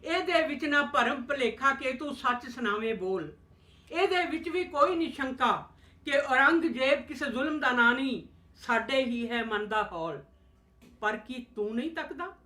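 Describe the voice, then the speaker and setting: A performer playing a fearful, cautioning chanter in a rhymed stage play, with slow, incantatory, repetitive delivery. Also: high voice, animated, pushed and bright. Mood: anxious hushing. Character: fearful cautioning chanter warning a truth-teller to hush. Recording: echoey room.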